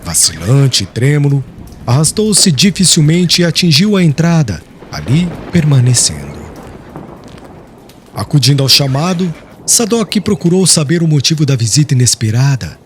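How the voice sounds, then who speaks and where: A man reads scripted literary narration with solemn drama. His fairly high voice moves in lively, wide contours, over a faint bed of noise and crickets. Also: moderate pace. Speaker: audiobook narrator.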